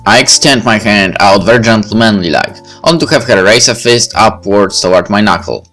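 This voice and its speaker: A man's voice: lowish voice, a little fast, fairly bright tone. A man reading first-person visual novel narration aloud, with music behind him.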